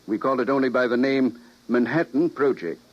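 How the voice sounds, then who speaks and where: An actor playing a huge, sardonic government scientist and official, cool, condescending and menacing, in an old-time radio drama. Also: male voice, mid-range voice, normal speed, natural voice. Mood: smug. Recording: dull old radio recording.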